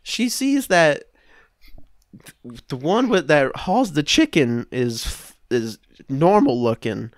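Young guy doing improv comedy, halting and kinda slow, dry sound, podcast style. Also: on the high side, very animated, no room sound. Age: young adult. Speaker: podcast host and improv performer. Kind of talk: improvised comedy, casual table read. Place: room at home, on a video call.

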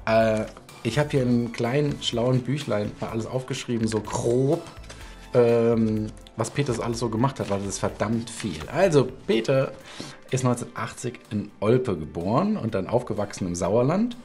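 A man's voice: medium pitch, animated, normal speed, a little mellow, soft background music. A middle-aged man hosting a casual, chatty interview from a home studio. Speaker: talk show host. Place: home studio room indoors.

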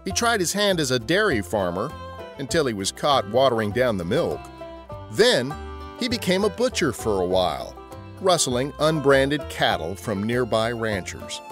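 A man's voice: mid-range voice, very animated, moderate pace, fairly bright tone, faint music behind. A man narrating a scripted history podcast as a storyteller.

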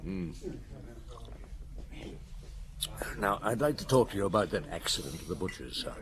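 Middle-aged police detective, radio drama, shrewd, measured questioning, expressive intonation, some background noise. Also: male voice, lowish voice, vocal fry. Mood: probing, wry. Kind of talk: radio drama dialogue and first-person narration.

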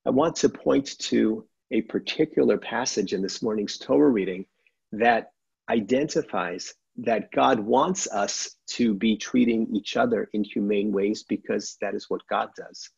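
A middle-aged man, a rabbi, giving a measured, earnest sermon from a sunlit room at home over a laptop webcam. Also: fairly low pitch, creaky, a little mellow, husky, no room sound. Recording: dull, dry webcam audio.

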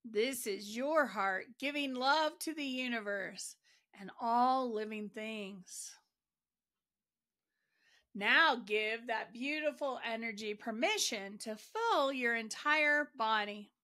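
Woman, meditation guide, bright clear-edged tone, very unhurried pace, gentle soothing delivery. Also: a little high, lively intonation.

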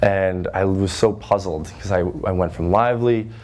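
Loud young guy in his 20s from northern ontario, kinda low voice, earnest school talk in a classroom, lots of uh's. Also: normal speed. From Northern Ontario, Canada. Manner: energetic, earnest, many "uh" fillers and restarts. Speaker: guest speaker. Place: high school classroom with chalkboards, audience seated.

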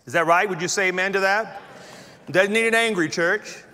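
Bright high-voiced middle-aged pastor. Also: male voice, a little fast. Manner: chatty and joking, laughing at his own jokes. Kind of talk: sermon. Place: large church stage indoors. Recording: reverberant church auditorium.

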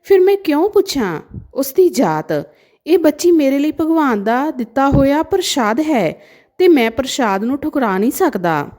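A woman storyteller voicing a kindly, devout temple priest and father in an earnest, gentle, reflective manner. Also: high-pitched, very animated, normal speed. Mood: calm, firm.

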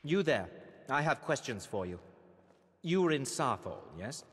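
An actor playing a haughty, suspicious elf mage advisor in a video game, speaking in an imperious, clipped, condescending way. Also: male voice, medium pitch, very animated, measured pace, fairly bright tone, breathy edge, exaggerated voice. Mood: demanding, suspicious. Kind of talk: video game dialogue acting. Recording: long hall reverb.

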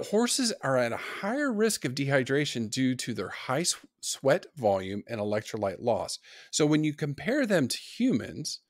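A man's voice: mid-range voice, no echo, studio quality. A middle-aged man lecturing on horse nutrition, giving practical tips in an online course. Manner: practical, advice-giving explanation.

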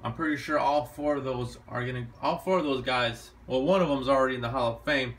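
A man shows off his bedroom in a slangy, boastful, laid-back way. His voice is bright and forceful, recorded on a handheld phone. A faint room noise hums behind him. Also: mid-range voice, steady tone, a little fast, poor audio. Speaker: vlogger giving a room tour. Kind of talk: casual filmed room tour. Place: small bedroom at home, indoors. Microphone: handheld phone camera.

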